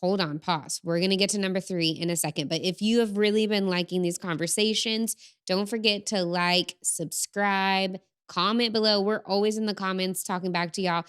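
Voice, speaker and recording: A woman in her thirties co-hosting a casual faith-based relationship podcast, speaking calmly and affirming her partner's points. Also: mid-range voice, moderate pace, hardly any pauses, clear, resonant tone. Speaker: podcast co-host.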